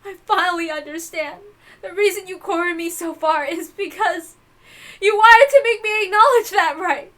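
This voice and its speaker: Casting: tormented mother for visual novel drama, very high, sharp, tearful and hysterical. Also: moderate pace. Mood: bitter, desperate. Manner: sobbing, dramatic outbursts. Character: anguished married mother confessing her crime in hysterical grief.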